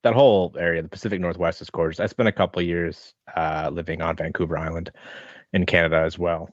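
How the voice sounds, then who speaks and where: A man in his thirties with an American accent, answering interview questions in a relaxed, thoughtful, rambling way. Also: sits low, fast, no echo. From American Midwest. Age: 30s. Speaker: podcast guest, online fitness coach. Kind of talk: casual interview chat. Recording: dull lo-fi sound.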